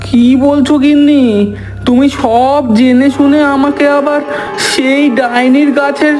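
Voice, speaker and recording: A woman's voice: high-pitched, level tone, a little slow, darkish tone, faint music behind, rough recording. A woman voicing a timid, obedient husband in a cartoon, fretful and meek. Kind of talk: cartoon voice acting.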